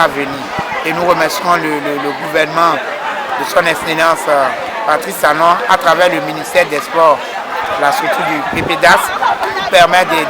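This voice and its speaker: Man, Beninese French accent, slightly high pitch, interview statement, very noisy crowd behind. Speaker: sports official. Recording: loud crowd and noisy outdoor background.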